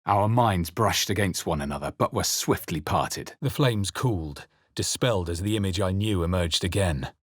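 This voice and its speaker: A man voicing a brooding adventurer hero in a video game, delivering measured, theatrical lines with grave weight. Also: deep voice, slightly rough, no echo, studio quality. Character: brooding male fantasy adventurer hero, adult, narrating and spellcasting. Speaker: player-character voice actor.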